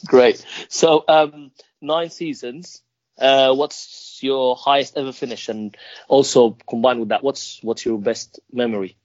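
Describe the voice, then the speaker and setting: A man's voice: medium pitch, steady tone, a little slow, no room sound, muffled recording. Upbeat male podcast host, dry sound. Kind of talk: casual interview questions.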